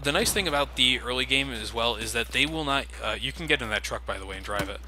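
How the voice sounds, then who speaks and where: A man's voice: mid-range voice, expressive, fast, pushed and bright. A man giving sarcastic, casual commentary over his own gameplay, swearing freely. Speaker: let's play commentator. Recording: game noise underneath.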